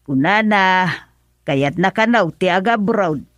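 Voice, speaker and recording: A woman's voice: mid-range voice, animated, measured pace, a bit bright, no room sound, studio quality. A woman with an Ilocano accent playing a worried middle-aged mother in a radio drama, pleading and anxious. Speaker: mother character.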